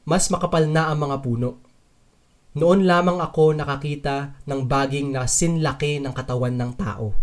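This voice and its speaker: A man's voice: fairly high pitch, a little mellow, lightly acted. Man acting a curious, wary traveler telling his story. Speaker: narrator and lead character of a dubbed short story. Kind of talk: dramatized first-person literary narration.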